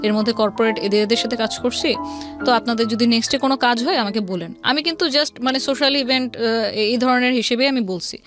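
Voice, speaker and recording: A woman's voice: fairly high pitch, fast talker. A woman with a Bangladeshi accent telling her life story as an interview guest, mixing English words into her speech. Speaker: interview guest, event planner. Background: background music.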